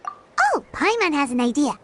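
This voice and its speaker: A woman voicing a small, chirpy, suspicious fairy sidekick with a squeaky childlike voice in a video game. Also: huge pitch swings, normal speed, fairly bright tone, a little hiss or hum, heavily acted. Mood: scheming, suspicious. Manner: speaks of herself in third person, exclaiming. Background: background music.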